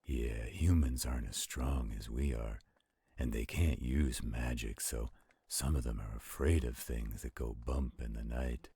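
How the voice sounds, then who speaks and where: Roleplay audio: gentle werewolf guardian, dark gravel rumble, unhurried, soothing, patient delivery. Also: male voice, steady tone, dry recording, exaggerated voice. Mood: gentle, attentive. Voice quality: very deep, gravelly, husky. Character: gentle protective adult male werewolf reading aloud.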